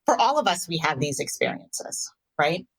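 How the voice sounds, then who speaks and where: A woman's voice: fairly low pitch, big pitch swings, moderate pace, a bit bright, husky, poor audio. A woman co-hosting a podcast, talking casually in an emphatic, outspoken way. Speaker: podcast co-host. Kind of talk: casual, conversational interviewing.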